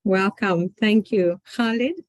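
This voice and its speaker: A woman moderating an online session, briskly thanking people and calling on the next speaker. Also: mid-range voice. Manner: brisk, friendly, calls on people. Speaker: meeting moderator. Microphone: video call.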